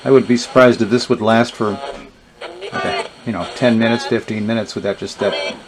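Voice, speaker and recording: A man giving a casual, unscripted gadget demonstration, thinking aloud in a low-key, hesitant way. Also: fairly even intonation, normal speed, a crowd in the background. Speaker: vintage radio reviewer demonstrating gadgets. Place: indoors in a basement. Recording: noisy recording with radio static. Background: radio static and hiss.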